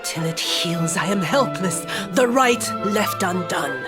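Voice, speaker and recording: A woman's voice: on the low side, expressive, slow pace, over music. A woman playing a proud, fierce yet courteous noblewoman in a video game, speaking in formal, poetic phrases. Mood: solemn, contrite. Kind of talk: dramatic video game voice acting.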